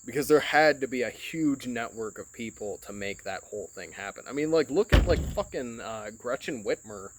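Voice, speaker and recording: A man's voice: mid-range voice, animated, moderate pace, hardly any pauses, very noisy. Rambling, profane man from Michigan. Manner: rambling, profane, heavy filler words. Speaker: podcast host. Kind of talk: casual rambling monologue. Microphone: phone.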